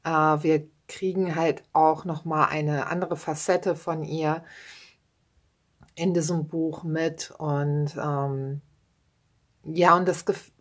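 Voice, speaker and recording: Chatty woman, slow and low. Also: steady tone. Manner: chatty, many fillers and tag questions, self-deprecating laughs. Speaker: book vlogger. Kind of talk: casual book chat. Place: home room with bookshelves, indoors.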